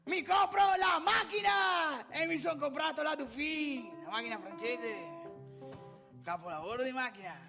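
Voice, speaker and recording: A woman's voice: high-pitched, lively intonation, normal speed, bright, forceful tone, soft background music, exaggerated voice. A woman playing a boastful, nostalgic old man in a theatrical comic monologue, rambling and emphatic. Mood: nostalgic, proud. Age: older. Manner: rambling, emphatic, addresses listener with rhetorical questions.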